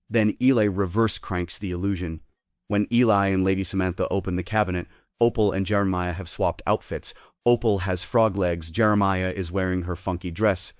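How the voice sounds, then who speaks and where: A man's voice: low voice, no echo, clean studio sound. A man narrating a script, reading stage directions and scene summaries aloud.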